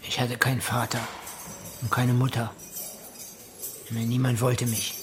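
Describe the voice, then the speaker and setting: Actor as bitter cult leader, flat monotone. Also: male voice, medium pitch, unhurried, over music, lightly acted. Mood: bitter, subdued. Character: bitter male cult leader recalling a neglected street childhood. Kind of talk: radio drama monologue.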